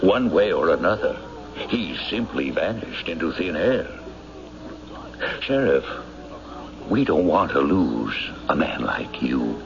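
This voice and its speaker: A smooth-talking small-town banker in an old radio play. He argues in a measured, insinuating way, with a low voice that swings expressively, on a dull broadcast recording. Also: big pitch swings, a crowd in the background, heavily acted. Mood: argumentative. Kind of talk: old-time radio drama acting.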